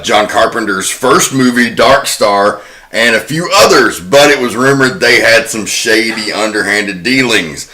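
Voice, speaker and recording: A man's voice: lowish voice, pushed and bright. A man hosting a podcast, giving prepared commentary on film history. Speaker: podcast host.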